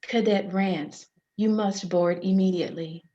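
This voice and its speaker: An impassive female spaceship AI, voiced by a woman at a table read, formal and official at a middle pitch. The recording is a little dull and lo-fi. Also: fairly even intonation, a little slow, dark tone, natural voice. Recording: dull lo-fi line.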